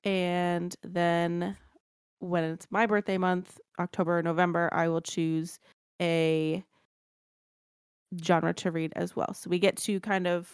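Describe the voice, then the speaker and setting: A woman's voice: on the low side, level tone, slow pace, clear, resonant tone, no echo, studio quality. A young woman co-hosting a book podcast in casual chat, swearing freely and talking over her co-host.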